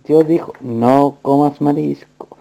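A man explains scripture in a slow, extremely gravelly voice. His tone is very dark. He speaks at a measured, deliberate pace. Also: fairly high pitch, steady tone, rough recording.

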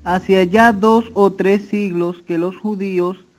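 A man in a Bible discussion reading a footnote aloud, slow and deliberate. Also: high-pitched, steady tone, poor audio. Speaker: participant in an online Bible study.

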